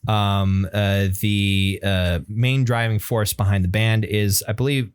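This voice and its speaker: A man hosting a casual podcast discussion about music, speaking in a halting way as he searches for his words. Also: lowish voice, little pitch movement, smooth, no echo, studio quality. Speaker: podcast host.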